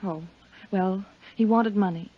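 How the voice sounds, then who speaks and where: A woman's voice: medium pitch, unhurried, slightly rough. A woman playing an anxious, guilty wife in a 1950s radio drama, speaking in a tense, pleading manner. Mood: desperate, defensive. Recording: muffled 1950s radio recording.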